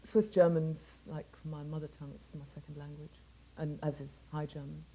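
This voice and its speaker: A middle-aged woman singer from England talking casually about her life in an interview, laughing often and letting her sentences trail off softly. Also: low voice, little pitch movement, normal speed, slightly rough, rough recording.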